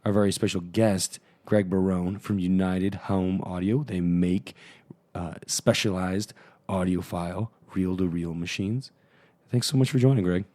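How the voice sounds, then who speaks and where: A man with a fairly low voice hosts a podcast. He talks casually and informally, with a joking streak, as he chats and puts questions to guests. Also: fairly even intonation, measured pace, warm tone. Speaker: podcast host. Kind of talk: casual chat, interviewing.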